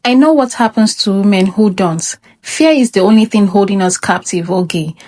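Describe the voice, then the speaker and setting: A woman's voice: medium pitch, moderate pace, darkish tone, natural voice. A woman acts out a determined teenage girl in a storybook tale. The girl is earnest and insistent, a defiant dreamer who will not back down.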